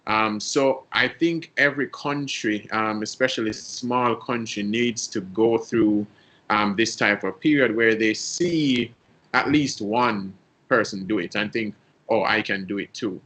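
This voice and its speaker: A man's voice: on the low side, a little slow, a bit bright, poor audio. A man with a Jamaican accent on a sports panel, thoughtful and joking, with lots of ums. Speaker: panel guest. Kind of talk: casual sports panel chat.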